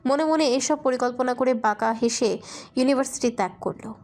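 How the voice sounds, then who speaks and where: A woman's voice: high-pitched, brisk, darkish tone. A woman reading scripted romantic fiction as a narrator.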